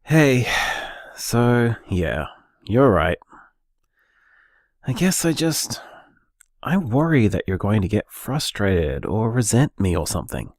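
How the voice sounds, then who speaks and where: A man's voice: fairly low pitch, sing-song, takes it slowly, long pauses, dry recording, studio quality, heavily acted. A man playing an anxious, affectionate boyfriend in an audio drama, speaking in a hesitant, earnest, informal way. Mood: worried, vulnerable. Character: anxious, affectionate, conscientious boyfriend planning a bar. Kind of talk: naturalistic audio drama monologue.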